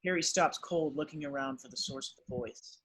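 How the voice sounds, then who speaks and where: A man's voice: fairly high pitch, a little fast, a bit bright, husky. A man narrating screenplay directions at an amateur table read.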